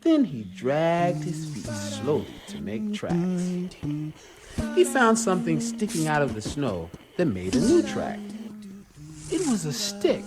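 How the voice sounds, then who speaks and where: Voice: medium pitch, wildly swooping pitch, takes it slowly, music in the background. A narrator reading a children's storybook in a scripted style, from a played soundtrack.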